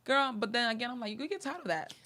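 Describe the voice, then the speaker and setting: A woman's voice: fairly high pitch, lively intonation, quick pace, pushed and bright. A woman from Honduras, hosting a casual chat, talking animatedly and full of fillers. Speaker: podcast host.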